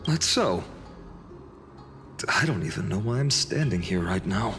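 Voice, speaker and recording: A man playing an earnest young soldier, humble and questioning, with a quietly wry streak. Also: lowish voice, expressive, measured pace, gravelly, exaggerated voice. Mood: uncertain. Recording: long hall reverb with faint music.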